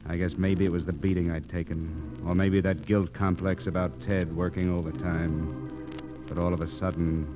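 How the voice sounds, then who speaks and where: An old 1949 radio disc carries an actor as a wisecracking male crime reporter over clear background music. His voice sits deep, and he talks in terse, sardonic lines. It is tough-guy narration in classic detective drama style. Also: flat delivery, moderate pace, close to an everyday voice. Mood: weary, brooding narration.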